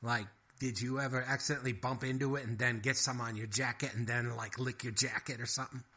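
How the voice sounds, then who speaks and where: A man's voice: mid-range voice, steady tone, quick pace, bright, forceful tone, voice pushed up, natural voice. A man voicing a scheming male mouse who pesters with stammering, wheedling questions. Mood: pushy. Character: fast-talking scheming male mouse, pushy.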